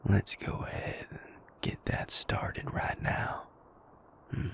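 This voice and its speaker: A man voicing a sadistic, mocking captor who gloats over a fallen prince in an audio drama, in a slow, taunting whisper. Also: a bit bright. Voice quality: creaky, whispery.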